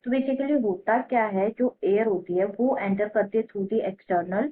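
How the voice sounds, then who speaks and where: The recording is narrow and phone-like. An Indian woman speaks as a teacher giving an explanatory lesson at a slow pace. She repeats key terms deliberately, as if dictating notes, in a medium-pitched voice. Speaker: biology teacher. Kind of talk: explanatory lesson in mixed Hindi and English. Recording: muffled phone-like narrow-band audio.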